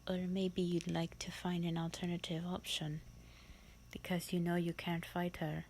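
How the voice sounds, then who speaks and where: A woman playing a bored, haughty, ancient female vampire in a roleplay audio, with a breathy, half-whispered, condescending drawl. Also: lowish voice, little pitch movement, normal speed, a little mellow, a little hiss or hum, close to an everyday voice. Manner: condescending, languid, theatrical drawl.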